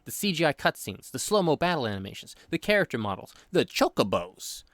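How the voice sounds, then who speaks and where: A man's voice: on the high side, big pitch swings, fairly quick, fairly bright tone. A man in his thirties narrating a scripted comedic video game review with exaggerated, playful delivery. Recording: background music under the voice. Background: music.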